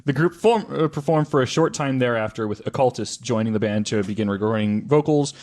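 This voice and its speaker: Man telling a story, halting and self-correcting. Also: mid-range voice, darkish tone. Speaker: podcast host. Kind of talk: casual storytelling from notes.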